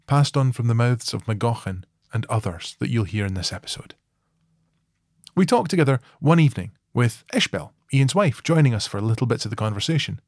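A man's voice: medium pitch, a little mellow, no echo. Male podcast host, friendly, conversational intro, dry close sound.

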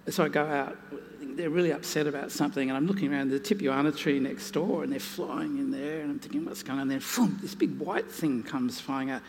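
A man speaking unscripted on a live panel as a naturalist and author, telling anecdotes in an animated, self-deprecating way. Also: fairly high pitch, brisk, hardly any pauses. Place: large indoor hall at a live museum event. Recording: hall reverb, noisy live event.

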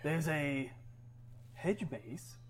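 A man's voice: on the high side, lively intonation, drawn-out words. Casual man running a tabletop game. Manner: loose, hesitant narration with many fillers. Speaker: game master.

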